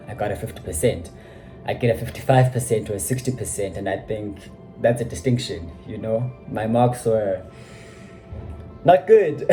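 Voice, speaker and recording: A man's voice: mid-range voice, mellow. A young man, casually recounting his school years, reflective and self-deprecating, with frequent "you know" asides. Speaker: vlogger. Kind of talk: casual personal storytelling.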